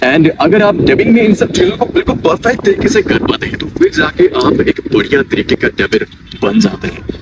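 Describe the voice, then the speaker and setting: Higher-pitched young man, very noisy recording. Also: sing-song, brisk, vocal fry. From Kishtwar, Jammu and Kashmir, India. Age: young adult. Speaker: interviewee, amateur dubbing and mimicry artist. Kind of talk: informal interview answers. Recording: noisy outdoor recording, distant mic, traffic.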